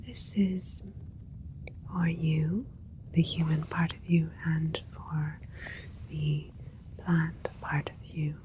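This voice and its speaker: Woman doing a soft whispery alien doctor for sci-fi asmr, real slow and breathy, calm n kindly. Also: fairly low pitch, rough recording. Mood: soothing, clinical. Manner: soothing, unhurried, clinical yet kindly, halting phrases. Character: gentle alien scientist doctor tending a plant hybrid.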